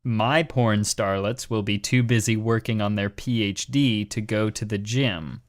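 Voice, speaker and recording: A man's voice: on the low side, fairly even intonation, a little slow, no room sound, studio quality. A man co-hosting a podcast, doing mocking dramatic readings and casual commentary in a sarcastic, exasperated way. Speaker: podcast co-host.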